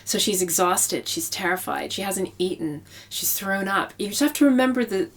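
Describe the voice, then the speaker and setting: A woman's voice: medium pitch, expressive, normal speed. A woman speaking as a novelist in an interview, explaining her craft conversationally and repeating phrases for emphasis. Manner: explanatory, with emphatic repetition for effect.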